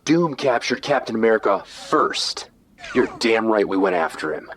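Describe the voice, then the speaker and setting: An actor playing a defiant, sarcastic armored superhero who talks bluntly in an audio drama. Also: male voice, medium pitch, animated, moderate pace, gravelly, a bit bright. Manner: blunt, sardonic.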